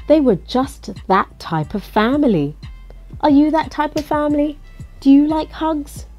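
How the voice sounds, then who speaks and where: A woman reading a children's picture book aloud in an expressive, coaxing way, as if to young listeners. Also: sits high, a little slow, faint music behind. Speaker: storybook reader.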